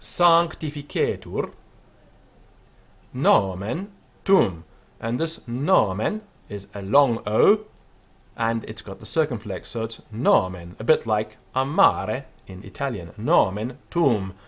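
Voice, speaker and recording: A man giving an unscripted pronunciation lesson, explaining with fillers and then slowly sounding out words to demonstrate them. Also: medium pitch, very animated, breathy edge. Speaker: Latin teacher.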